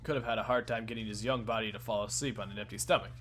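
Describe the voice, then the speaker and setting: A man's voice: lowish voice, fairly even intonation, very fast, fairly bright tone, faint music behind. Laid-back man reading a visual novel. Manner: laughs often, casual and profane between lines. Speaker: let's play host and narrator.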